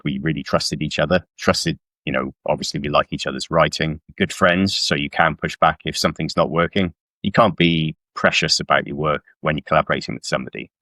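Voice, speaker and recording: A man with a very low, deep voice talks in a relaxed interview style as a guest author. He is informal and modest about himself, and the sound is close and dry. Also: no echo. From South Wales. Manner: self-deprecating, informal. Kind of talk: casual interview chat about work.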